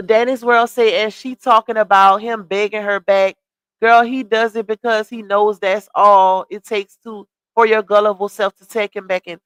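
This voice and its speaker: A woman's voice: fairly high pitch, a bit bright, no room sound, rough recording. Chatty woman hosting a gossip live stream. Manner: chatty, reads out comments and greets viewers. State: losing her voice.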